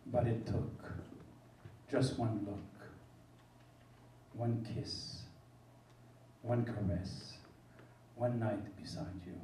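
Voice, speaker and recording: Brief: middle-aged man, measured poetry reading, unhurried and warm, echoey hall, distant mic. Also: lowish voice, slight background noise. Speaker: poetry reader. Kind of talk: slow stage poetry recitation. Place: theatre stage with red curtain.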